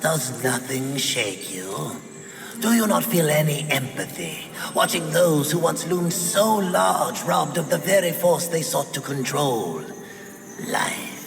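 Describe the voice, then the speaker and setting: A man playing a sly, wheedling, theatrical demon merchant in a video game, flattering and drawing out his words. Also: a little high, gravelly, in a large hall, over music, heavily acted. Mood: mournful, sly. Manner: flamboyant, drawn-out, swooping. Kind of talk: scripted video game cutscene acting.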